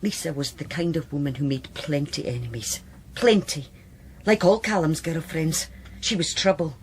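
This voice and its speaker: An actress playing a brittle, anguished sister in a radio drama, with a Scottish accent and a halting, agitated, bitter delivery. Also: female voice, deep voice, slight background noise, close to an everyday voice. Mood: distressed, bitter. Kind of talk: naturalistic radio drama acting.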